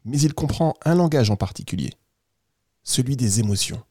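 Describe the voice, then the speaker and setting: Kindly storybook squirrel, performed by a man: soft, mellow, patient and reassuring, close dry sound. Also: mid-range voice, expressive, normal speed, slightly rough, dry recording. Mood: earnest, reassuring. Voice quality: soft, gentle voice. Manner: patient, explaining simply to a child. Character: kind, reassuring talking red squirrel of children's tale.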